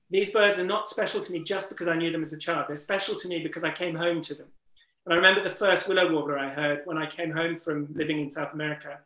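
Bright-voiced Englishman, strained, roomy echo. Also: fairly high pitch. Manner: eloquent, earnest, reflective. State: voice strained from disuse. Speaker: interviewer and wildlife trust ambassador. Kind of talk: webinar conversation. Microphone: video call.

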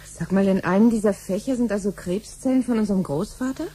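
Soft-toned, curious woman, acted by an actress. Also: mid-range voice, fast talker, natural voice. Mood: curious, hesitant. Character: curious, evasive married woman probing her sister with questions. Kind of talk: 1970s German radio play dialogue.

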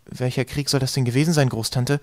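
A man's voice: medium pitch, steady tone, fast talker, no room sound, clean studio sound, voice pushed up, close to an everyday voice. A man voicing a polite, timid, bewildered young boy in a storybook, courteous and hesitant.

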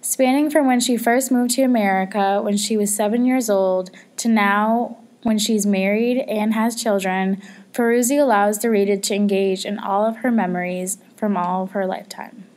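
A young woman reading a student book report aloud in a measured, even way. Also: medium pitch. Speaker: student presenter.

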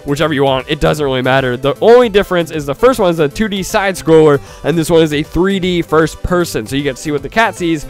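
A young man with a cold and a stuffy voice, giving excited let's-play commentary on a game and shouting at it now and then. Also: fairly high pitch, fast talker, hardly any pauses, faint music behind. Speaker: gaming YouTuber.

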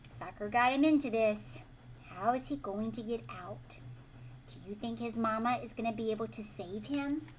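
A woman in her thirties reading a picture book aloud to children in an expressive, explanatory way, pausing to ask questions. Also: fairly high pitch, a little hiss or hum. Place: living room at home, indoors.

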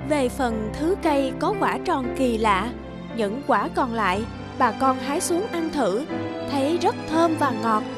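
A woman narrating a fairy tale for an animated story in a gentle, measured way. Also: sits high. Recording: music bed behind voice.

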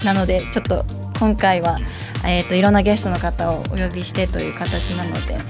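A woman's voice: fairly low pitch, fairly even intonation, fairly quick, over music. A woman presenting politely and formally as the assistant on a radio show.

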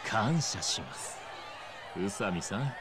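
An actor playing a suave nightclub owner and crime boss in a game, formal and theatrical with polite condescension. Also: male voice, on the low side, expressive, takes it slowly, background noise. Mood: coolly menacing. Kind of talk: video game cutscene acting. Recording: loud music and crowd behind.

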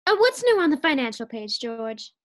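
A woman's voice: high voice, very animated, moderate pace. A woman acting a scheming, cheerful, scatterbrained housewife in a 1940s-style radio sitcom. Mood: wheedling.

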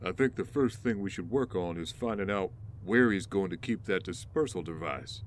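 A man's voice: deep voice, normal speed, slight background noise, lightly acted. A man playing a loyal vigilante teammate in an audio drama, wry and grumbling. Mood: serious. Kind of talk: naturalistic audio drama acting.

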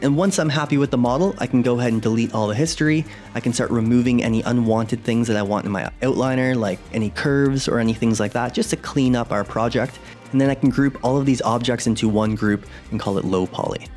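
A young adult man narrating a software tutorial in a relaxed, conversational way. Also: mid-range voice. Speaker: 3D artist tutorial host.

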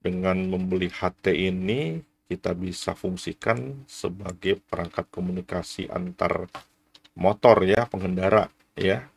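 Gravelly low-voiced man. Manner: frequent "ya" tags, explanatory. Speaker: vlogger reviewing gear. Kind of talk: casual product review to camera.

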